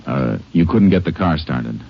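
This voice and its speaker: An actor playing a steady Texas Ranger investigator, speaking in a terse, measured, understated way in a 1950s radio drama. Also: male voice, extremely low pitch, gravelly, a little hiss or hum, full character voice. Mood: gentle, concerned. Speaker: lead actor and narrator. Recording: muffled vintage radio recording.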